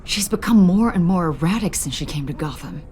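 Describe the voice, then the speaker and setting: Actress as a low-voiced, earnest female agent. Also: animated, a little mellow, a little hiss or hum, lightly acted. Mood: uneasy, candid. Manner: measured, candid, slightly formal. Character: earnest, conflicted female government agent, adult, professional. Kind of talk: naturalistic video game dialogue.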